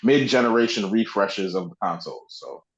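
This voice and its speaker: A man's voice: on the low side, moderate pace, dull audio. A man co-hosting a podcast, chatting informally and conversationally about video games. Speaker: podcast co-host. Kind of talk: casual video game discussion.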